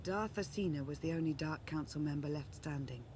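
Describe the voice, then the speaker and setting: Actress doing low voiced sith advisor woman, composed n formal, video game dialogue, bit of background noise. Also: close to an everyday voice. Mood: grave, composed. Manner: measured, formal, articulate. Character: composed, earnest female Sith strategist and loyal ally. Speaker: advisor and ally. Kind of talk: scripted game dialogue, briefing.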